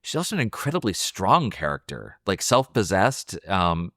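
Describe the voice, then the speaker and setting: Male podcast co-host, lowish pitch, dry sound, tentative and thoughtful conversational delivery. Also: very animated, normal speed, a bit bright, no echo. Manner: thoughtful, tentative, self-interrupting. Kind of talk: casual film discussion.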